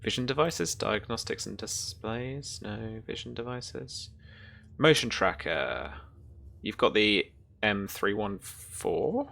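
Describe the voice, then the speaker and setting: A man running a tabletop roleplaying game, narrating scenes and explaining rules in a halting, thinking-aloud way. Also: on the low side, lively intonation, gravelly, pushed and bright. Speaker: game master. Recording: noticeable background noise.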